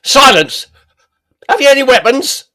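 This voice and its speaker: A man playing a brisk, commanding police inspector in an audio drama, with a clipped, authoritative manner. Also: very high-pitched, sing-song, sharp tone, heavily acted. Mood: urgent commanding.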